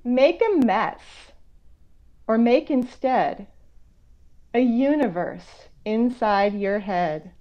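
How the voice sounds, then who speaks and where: A woman's voice: fairly high pitch, lively intonation. Woman reading aloud, dull lo-fi audio. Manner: slow, measured reading broken by conversational commentary. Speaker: children's author reading her picture book aloud. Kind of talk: read-aloud with explanatory asides for children. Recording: dull, lo-fi online call audio.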